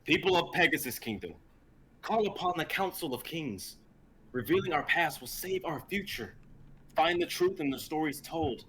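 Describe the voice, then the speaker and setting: A man's voice: on the high side, lively intonation, moderate pace, a bit bright, voice pushed up, low-quality recording. A man voicing a defiant young dragonborn knight, declaiming with determination in an amateur fantasy reading. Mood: proclaiming. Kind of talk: amateur dramatic script reading. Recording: faint background noise, live stream.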